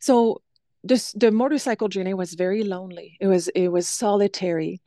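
Mellow woman, dry sound. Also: medium pitch, steady tone, moderate pace, dry recording. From Quebec City, Canada. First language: French. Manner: thoughtful, frequent ums, light laughs. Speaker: guest, stage performer. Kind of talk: conversational interview answers.

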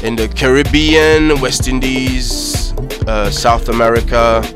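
A man born in Paris and raised in Cameroon, a reggae musician answering interview questions in a soft, halting way with many fillers. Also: mid-range voice, steady tone, music in the background. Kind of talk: relaxed radio interview answers. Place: radio studio. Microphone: studio microphone.

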